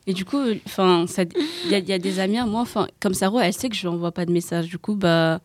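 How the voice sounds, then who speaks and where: A teenage girl speaking casually, rushing through her thoughts with frequent fillers and restarts. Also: medium pitch, steady tone, a little mellow, no echo. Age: teens. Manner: quick, hesitant, many fillers. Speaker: teen guest. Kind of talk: casual discussion.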